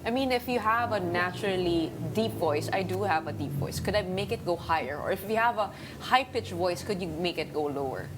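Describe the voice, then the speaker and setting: A woman's voice: fairly low pitch, lively intonation, a little fast, few pauses. A woman host with a Filipino accent asking guests curious follow-up questions. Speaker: TV morning show host. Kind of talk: talk show interview. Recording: noisy studio recording.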